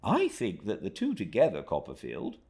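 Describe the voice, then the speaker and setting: A man's voice: on the low side, huge pitch swings, moderate pace, a little mellow. An actor reading as an earnest, kindly young man who speaks thoughtfully and a little hesitantly. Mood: puzzled.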